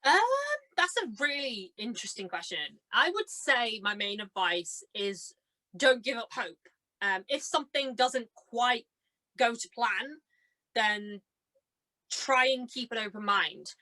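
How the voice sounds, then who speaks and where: A woman's voice: on the high side, expressive, unhurried, pushed and bright, poor audio. A young woman talking casually as an interview guest, telling stories in a chatty way and acting out her reactions. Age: young adult. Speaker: interview guest, amateur actor and cosplayer. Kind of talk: casual conversational chat.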